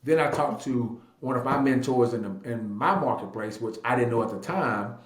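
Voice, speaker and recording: A man's voice: medium pitch, moderate pace. A man of about thirty telling his story casually in an interview, emphatic, repeating phrases and acting out bits of dialogue. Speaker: real estate agent and investor being interviewed. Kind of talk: animated personal storytelling in casual talk. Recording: echoey room.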